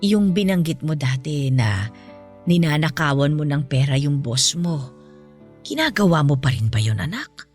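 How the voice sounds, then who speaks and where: Woman acting a gentle, moralizing, lively-pitched mother. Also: normal speed. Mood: gently probing. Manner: earnest, patient, moralizing. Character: gentle, principled ailing mother counseling her grown child. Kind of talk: Filipino radio-style drama acting. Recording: music bed under the voice.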